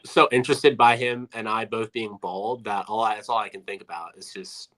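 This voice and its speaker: A man's voice: fairly low pitch, steady tone, normal speed, a bit bright, low-quality recording. A man in his twenties co-hosting a casual betting podcast with self-deprecating, wry humor. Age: 20s. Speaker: podcast co-host.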